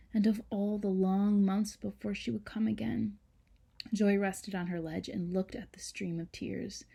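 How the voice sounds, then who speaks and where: A woman reading a children's storybook aloud like a teacher, with dramatic emphasis, hushed moments and chatty asides to young listeners. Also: medium pitch, vocal fry.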